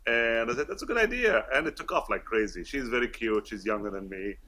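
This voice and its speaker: A man's voice: mid-range voice, animated, brisk, pushed and bright. A man in his fifties, raised in Kuwait, with British-schooled English, chatting as an interview guest in a friendly, laughing way with many fillers. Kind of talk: casual interview talk.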